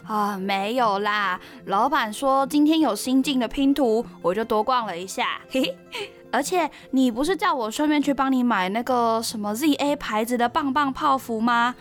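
Casting: actress as nosy, chatty young woman, higher voice, Taiwanese accent, radio drama with music behind. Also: normal speed, close to an everyday voice. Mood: chatty, teasing. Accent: Taiwanese Mandarin. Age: young adult.

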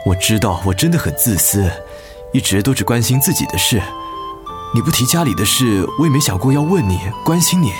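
Music plays under an actor as a middle-aged Taiwanese husband in a radio drama. His voice is mid-pitched and moves widely. He is gentle and apologetic, earnestly pleading with his wife. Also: animated, fairly quick, lightly acted. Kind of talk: Mandarin radio drama acting.

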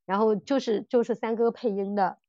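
Gushing high-voiced woman podcaster. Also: brisk, no echo, highs cut off. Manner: gushing, animated, exclaiming. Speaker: podcast host. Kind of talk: casual fan chat about audio dramas.

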